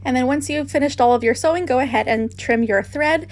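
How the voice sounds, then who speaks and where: A woman's voice: high-pitched, fairly quick, over music. A woman hosting a craft tutorial, narrating casually with gushing enthusiasm.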